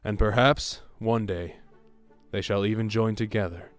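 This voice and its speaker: A man's voice: fairly low pitch, steady tone, a little slow, clean tone, faint music behind, close to an everyday voice. A man playing a proud, gracious king in an audio drama, speaking in a formal, proclaiming manner. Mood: gently hopeful. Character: proud, gracious fairy-tale king addressing his people.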